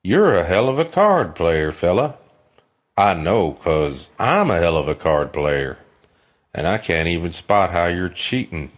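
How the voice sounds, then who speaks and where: A man's voice: low voice, very animated, a little slow, a little hiss or hum. A man playing a brash, threatening card player in a western drama. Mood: menacing, suspicious. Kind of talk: dramatic western film acting demo.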